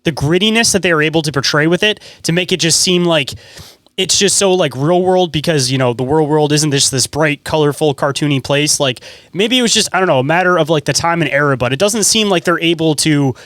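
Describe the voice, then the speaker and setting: Fast-talking male podcast host, slangy. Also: on the high side, fairly bright tone, dry recording, studio quality. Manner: slangy, filler-heavy, says "like" and "dude" often. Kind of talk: casual podcast chat.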